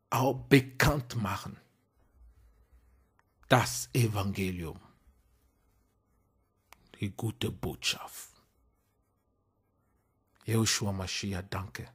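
Man, non-native German speaker, sermon, husky voice, slow delivery. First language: not German. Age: adult. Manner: hesitant, repeats words, rises to emphatic high exhortation. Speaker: preacher. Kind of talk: impassioned sermon.

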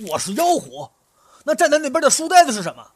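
An actor playing a brash, boastful, foul-mouthed burly male ex-monk in an audio drama, loud and swaggering. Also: very high voice, huge pitch swings, fast, exaggerated voice. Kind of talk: amateur audio drama acting.

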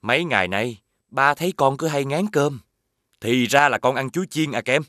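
A man's voice: mid-range voice, normal speed, fairly bright tone, dry recording, clean studio sound, lightly acted. A man voicing a patient, reasonable father in a children's cartoon, encouraging in a southern Vietnamese accent. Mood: gently chiding.

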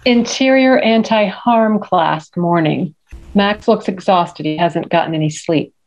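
A woman's voice: lowish voice, measured pace, poor audio. A middle-aged woman reading stage directions at a film script table read. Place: indoor room at home on a video call.

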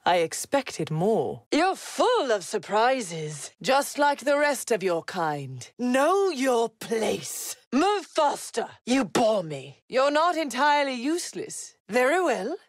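A woman playing a mocking, vengeful villain in a video game, delivering deliberate, sneering combat taunts. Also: fairly high pitch, sing-song, dry recording, clean studio sound, exaggerated voice. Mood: scornful. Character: mocking, vengeful female villain hunting a young Jedi.

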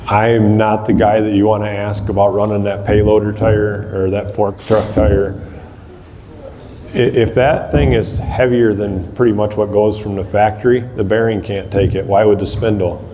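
A man's voice: lowish voice, mellow. A man in his thirties giving a practical, plainspoken talk to a small audience, with frequent ums and restarts, recorded by a camera across a large hall. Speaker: demolition derby builder giving a seminar. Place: large hall with rows of empty chairs, indoors. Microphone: far camera across the room. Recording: echoey hall, faint background hiss.

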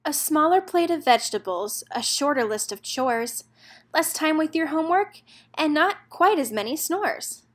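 A woman with a high-pitched voice reads a children's picture book aloud. She narrates expressively, following the rhythm of rhyming verse. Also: expressive, moderate pace.

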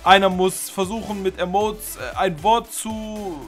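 Young guy gamer host, loud and hyped, really high bright voice, slangy jokey chatter. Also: steady tone, a little slow, faint music behind. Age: 20s. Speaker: gaming YouTuber hosting the video. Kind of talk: excited, joking gaming commentary. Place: small indoor streaming room.

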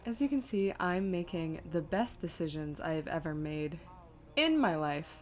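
A woman's voice: lowish voice, lively intonation, moderate pace, a little mellow. Self-deprecating woman streaming a video game. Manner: self-deprecating, exasperated asides. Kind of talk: casual live gameplay commentary, thinking aloud.

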